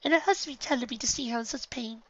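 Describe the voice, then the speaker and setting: A woman's voice: fairly high pitch, fast, pitched above the performer's own voice, lightly acted. A woman voicing a refined, theatrical grown unicorn mare who runs a dress shop, speaking in an elegant, fussy manner. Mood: earnest. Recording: dull lo-fi, dry recording.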